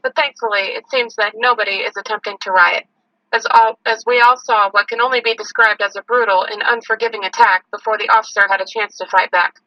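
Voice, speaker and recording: A woman's voice: a little high, steady tone, a little fast, pushed and bright, no echo. A woman playing a serious, matter-of-fact field reporter giving a scripted news report, in slightly lo-fi audio. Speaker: on-scene reporter.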